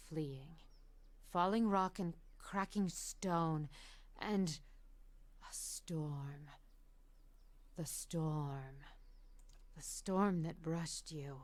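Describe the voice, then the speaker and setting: A woman playing an ancient, gentle seer in a fantasy video game, speaking softly and solemnly in halting phrases. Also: deep voice. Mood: haunted, hushed. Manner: soft, slow, halting, solemn delivery.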